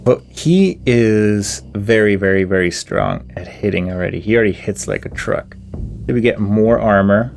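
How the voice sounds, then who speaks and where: Guy with a lowish voice thinking out loud over game upgrades, bit of music under it. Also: moderate pace, vocal fry. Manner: musing, weighing options aloud with fillers. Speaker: gameplay commentator. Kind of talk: thinking aloud while playing.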